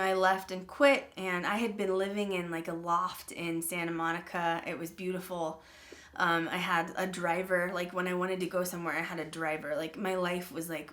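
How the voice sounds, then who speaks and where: Chatty woman with a low voice. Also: fairly bright tone. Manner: chatty, peppered with "um" and "like". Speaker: paranormal channel host. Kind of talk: casual vlog talk to viewers.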